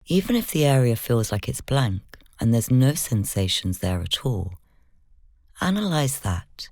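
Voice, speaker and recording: A meditation guide leading a guided session in slow, measured, gentle instruction, soft-spoken with whispery moments. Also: medium pitch, very animated, dry recording, clean studio sound.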